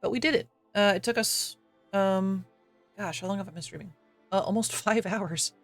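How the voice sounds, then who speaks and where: A man chats casually on a live game stream, his voice slightly raspy from coughing and gravelly at the edges. He talks in a fairly high register, full of fillers and little self-deprecating laughs. Faint music plays underneath. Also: moderate pace, fairly bright tone, no room sound. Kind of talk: casual live-stream chat while gaming.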